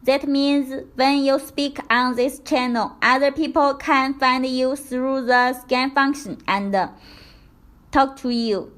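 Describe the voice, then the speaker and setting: A woman presenting a product tutorial, reading scripted instructions in a careful, deliberate step-by-step way. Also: high-pitched, fairly even intonation, a bit bright.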